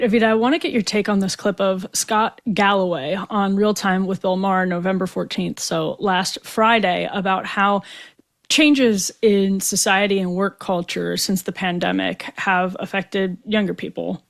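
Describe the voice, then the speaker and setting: A woman's voice: medium pitch, steady tone, moderate pace, vocal fry. Female podcast host, chatty and thinking aloud, loose, rambling questions. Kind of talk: conversational interview questions.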